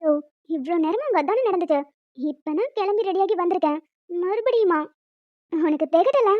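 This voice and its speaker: A woman's voice: very high-pitched, lively intonation, moderate pace, no room sound, muffled recording. A woman voicing a young wife in a cartoon drama, playfully scolding and bantering. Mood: exasperated teasing. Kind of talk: cartoon relationship drama dialogue.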